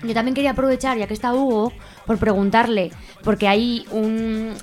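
A woman's voice: fairly high pitch, little pitch movement, normal speed, a little mellow, faint music behind. A woman with an accent from Spain talking informally as a festival organizer in a radio interview. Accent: Spanish from Spain.

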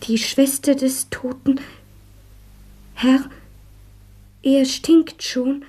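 Casting: solemn woman reciting scripture for radio drama, high but mellow, whispery, hushed. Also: fairly even intonation, very slow. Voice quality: breathy, whispery.

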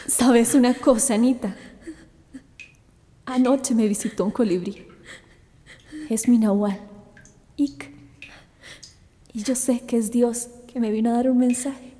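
Radio drama heroine: gentle, hopeful young woman, soft dark tone. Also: on the high side, slow, pauses often. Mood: tender hope.

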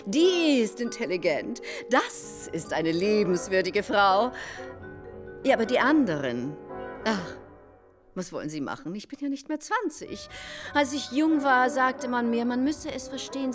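An actress playing a scheming, impatient middle-aged society hostess in a radio play, theatrical and emphatic, sometimes dropping into confiding hushed tones. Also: female voice, a little high. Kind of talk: German radio play acting. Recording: music behind the voice. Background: music.

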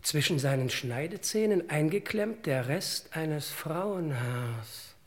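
An actor playing a wisecracking, deferential detective's sidekick in an old German crime radio play, speaking in droll, mock-formal understatement. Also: male voice, fairly high pitch, expressive, slow, noisy background.

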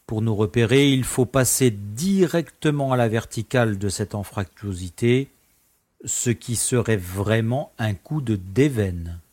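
A man playing a wry, decisive starship pilot and smuggler in an amateur sci-fi audio drama, brisk and commanding. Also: fairly low pitch, expressive, a little mellow. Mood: decisive, businesslike.

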